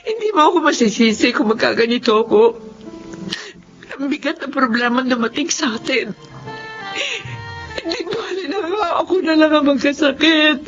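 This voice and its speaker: A woman's voice: high-pitched, animated, normal speed, dull audio, faint music behind. An actress playing a despairing mother who pleads and cries over her sick child in a radio drama.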